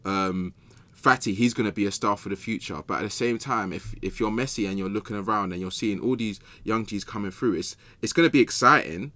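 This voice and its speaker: A young man with a low voice and a London accent, recorded dry and close. He speaks in slangy, emphatic bursts, like someone arguing his case on a casual football podcast. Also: fairly quick, bright, forceful tone, no room sound. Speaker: podcast guest pundit.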